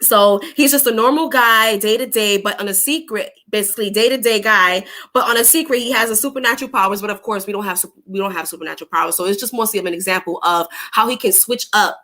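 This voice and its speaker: A woman's voice: mid-range voice, fast talker, hardly any pauses, sharp tone. A woman with a New York accent hosting a casual live chat, hyping up listeners and repeating her phrases. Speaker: host of a live show.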